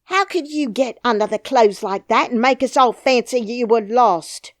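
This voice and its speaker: Woman as storybook nurse, shrill, scolding, high voice, dry sound. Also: moderate pace, no room sound, lightly acted. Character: shrill scolding female nurse, frightened and angry. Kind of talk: audiobook character voice.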